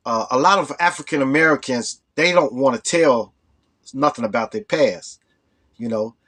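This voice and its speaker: A hoarse man with a cold telling a rambling personal story as the host of a storytelling channel. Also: mid-range voice, moderate pace, a bit bright. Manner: rambling, full of "you know". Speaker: storytelling vlogger. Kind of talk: casual storytelling.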